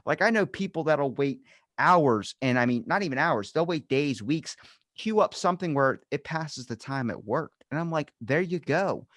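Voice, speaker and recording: A man's voice: fairly high pitch, lively intonation, normal speed, fairly bright tone, no room sound, studio quality. A man hosting a podcast in an informal, rambling, conversational way. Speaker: podcast host. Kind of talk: casual chat.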